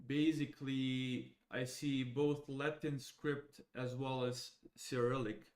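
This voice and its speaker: Young Serbian-born man, native Serbian speaker, walking viewers through code while thinking aloud in halting steps, mid-range voice. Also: mid-range voice, flat delivery, darkish tone. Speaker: AI educator. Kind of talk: live coding walkthrough.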